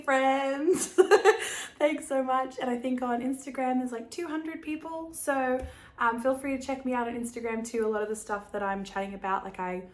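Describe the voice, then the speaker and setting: A young woman with an Australian accent, hosting a knitting video podcast in a casual, bubbly chat, her voice a little croaky from laryngitis. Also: medium pitch. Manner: chatty, bubbly, many fillers and giggles.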